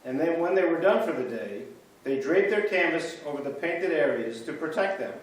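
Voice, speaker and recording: A man's voice: fairly high pitch, lively intonation, moderate pace. A man reading a church history script aloud in reader's theater, telling the stories with a storyteller's build-up. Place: large church sanctuary. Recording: echoey church from far off.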